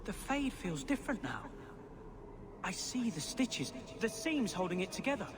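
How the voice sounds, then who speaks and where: A young mage, earnest, high-pitched, lively intonation. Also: male voice, moderate pace, noisy background. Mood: earnest, resolute. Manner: earnest and questioning.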